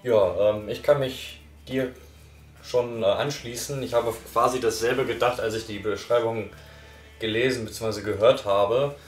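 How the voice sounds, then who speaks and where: A man's voice: medium pitch, fairly even intonation, measured pace, roomy sound, recorded from a distance, faint music behind. A young man in a casual review chat, speaking hesitantly and agreeing with others. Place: small living room, on a sofa.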